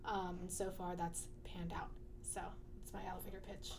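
A woman's voice: on the low side, little pitch movement, normal speed. Chatty young woman, filler-heavy. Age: young adult. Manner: chatty, full of "like" fillers, laughs easily. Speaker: student guest. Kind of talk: casual interview chat.

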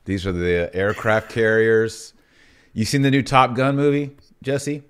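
A man's voice: on the low side, animated, measured pace, smooth. A man hosting a religious podcast in a casual, informal and colloquial chatting style. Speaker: podcast host.

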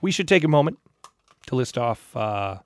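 A man's voice: mid-range voice, big pitch swings, moderate pace, loud music behind the voice. A man chatting casually as a podcast co-host. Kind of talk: casual chat.

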